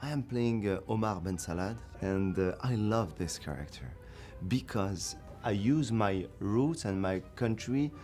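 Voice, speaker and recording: A man from Morocco, an actor, talking about his role in a documentary interview, animated and quick to laugh. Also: on the low side, faint music behind.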